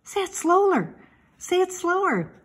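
A woman's voice: very high-pitched, big pitch swings, a little slow, voice pushed up, exaggerated voice. A woman voicing a lonely, bored young male pig who whines plaintively, in a storybook reading. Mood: puzzled. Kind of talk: storybook character voice.

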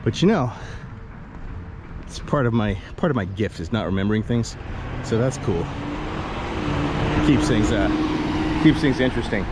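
A man in his forties rambling and joking through a casual walking tour vlog, filmed on an action camera held at arm's length outdoors. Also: lowish voice. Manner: rambling, jokey, chatty self-talk. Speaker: vlogger. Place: outdoors on a sunny residential street. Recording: outdoor street noise. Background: street ambience and passing cars.

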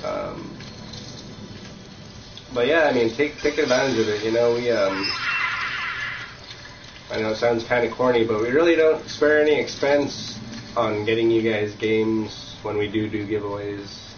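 A man's voice: lowish voice, expressive, measured pace, long pauses, background noise. Sniffly man streaming from a roomy home. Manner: rambling, filler-heavy, easygoing. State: has a cold, sniffly. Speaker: game streamer. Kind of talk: casual live-stream chat while playing. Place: room at home. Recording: distant, roomy, dull lo-fi mic.

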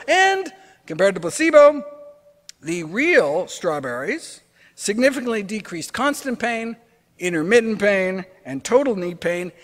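A man with a fairly high voice reads a research summary in a lively, emphatic way. His voice rises and falls a lot. The clip carries a long reverb tail. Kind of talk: read narration.

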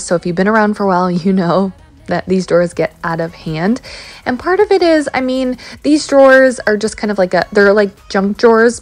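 A woman's voice: mid-range voice, no room sound. A woman in her thirties narrating a home cleaning vlog in a casual, chatty way, with many fillers. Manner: chatty, relaxed, with many fillers like "um" and "you know". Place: home kitchen.

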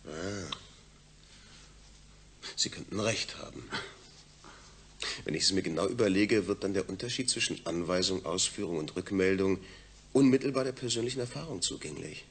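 An actor playing an experienced, reserved and skeptical astronaut in a German radio drama, speaking reflectively and hesitantly. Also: male voice, deep voice, expressive, normal speed, long pauses, a little hiss or hum.

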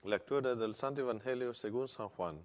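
A middle-aged priest reads the Gospel at a church lectern in a measured, steady manner. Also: male voice, medium pitch. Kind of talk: reading the Gospel at Mass. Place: large church, at a wooden lectern. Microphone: lectern microphone. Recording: long church reverb.

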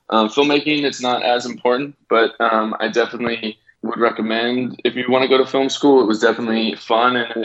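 An American man in his thirties from Texas, chatting casually as an interview guest on a slightly dull recording. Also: lowish voice. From Texas, United States. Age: 30s. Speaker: film director, podcast guest.

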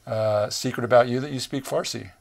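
A man's voice: medium pitch, fairly quick, vocal fry. Man in his sixties, local TV host; chatty, rambling questions; lapel mic, small studio. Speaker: TV interview host. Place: small TV studio set, indoors.

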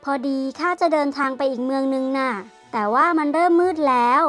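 A woman voicing a sly, smooth-talking female fox trickster in a children's storybook. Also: sits high, fairly quick, a bit bright, soft background music, pitched above the performer's own voice. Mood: sweetly polite.

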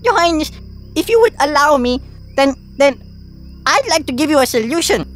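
A woman's voice: sits high, expressive, moderate pace, soft background music. A woman voicing a small, nervous but clever male hare in a cartoon, stammering and deferential. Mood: pleading. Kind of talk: cartoon voice acting.

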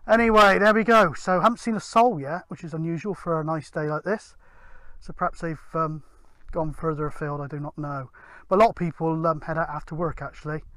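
A man's voice: a little high, fairly even intonation, a little fast, a bit bright, dry recording. A middle-aged British man giving casual, rambling, matter-of-fact narration for an outdoor vlog. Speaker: amateur CB radio vlogger. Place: open moorland hilltop, outdoors. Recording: windy outdoor handheld camera recording. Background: wind and outdoor ambience.

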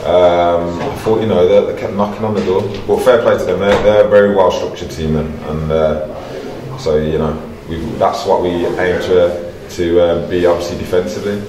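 A man's voice: low voice, steady tone, normal speed, few pauses. A middle-aged man, speaking casually and colloquially as a football manager in a post-match interview, often repeating his phrases. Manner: colloquial, repeats phrases, addresses listener as mate. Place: indoor stadium corridor with bare block walls. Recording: roomy, distant mic, crowd chatter behind.

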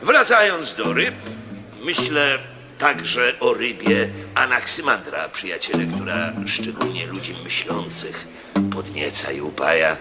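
An actor playing an aging Roman proconsul who speaks in a lofty, brooding, theatrical way in a radio play. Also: male voice, lowish voice, animated, a little slow, over loud music. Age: older. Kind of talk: 1980s-style radio drama.

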